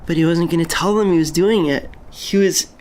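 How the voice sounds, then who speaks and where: A man's voice: on the high side, lightly acted. Man playing a hesitant, wistful young janitor. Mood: wistful, confiding. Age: young adult. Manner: hesitant, halting, hushed confiding storytelling. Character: lonely, dreamy young male janitor, tender and wistful. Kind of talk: intimate audio drama monologue.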